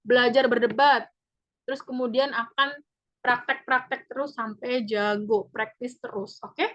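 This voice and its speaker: A woman, a public speaking trainer giving a chatty, upbeat webinar pitch and repeating key points. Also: steady tone, unhurried, a bit bright. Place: online webinar. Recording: dull lo-fi webinar audio.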